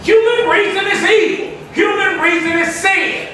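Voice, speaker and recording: High-pitched male preacher in an echoey room. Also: moderate pace, pushed and bright. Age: 60s. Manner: emphatic, repeats phrases insistently. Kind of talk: sermon, Bible teaching. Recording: echoey room, distant mic, faint noise.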